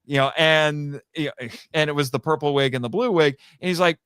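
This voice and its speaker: A man's voice: fairly high pitch, a little fast, fairly bright tone, clean tone, dry recording, studio quality. A man talking casually as a guest on a fan podcast, telling stories and acting out quoted remarks.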